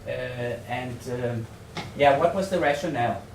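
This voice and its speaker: Halting Danish-accented man in an echoey room. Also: medium pitch, animated. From Denmark. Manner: halting, tentative, thinking aloud with repeated words. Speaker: researcher presenting at a seminar. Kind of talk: semi-improvised conference presentation. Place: seminar room in a hotel. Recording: echoey room, distant mic, faint noise.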